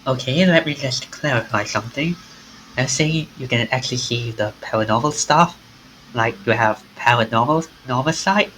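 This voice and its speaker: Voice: medium pitch, moderate pace, fairly bright tone, slight background noise, low-quality recording. A streamer reading a visual novel's dialogue aloud, swinging their voice up and down between lines.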